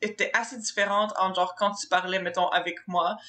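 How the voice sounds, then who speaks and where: A woman's voice: medium pitch, fairly even intonation, fairly quick, pushed and bright. A young woman with a Québécois French accent, hosting a podcast in casual chat, often laughing and mixing in English words. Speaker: podcast host.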